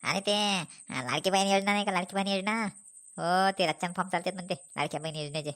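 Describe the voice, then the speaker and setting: A woman's voice: on the low side, fairly even intonation, fast, natural voice. A woman voicing a roguish old village man in a comic cartoon, chatty and rambling, with a rural Maharashtrian accent. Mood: jokey.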